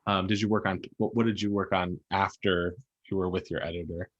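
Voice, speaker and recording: Low-voiced man hosting a casual interview. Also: fairly even intonation, fairly quick, dull audio. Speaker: interview host. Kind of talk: casual panel interview of authors.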